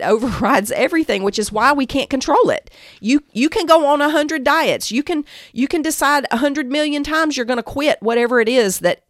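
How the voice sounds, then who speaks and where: A woman's voice: on the high side, no room sound, studio quality. A woman giving a devotional teaching talk, speaking emphatically and exhorting, repeating phrases for weight. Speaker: Bible teacher and podcast host.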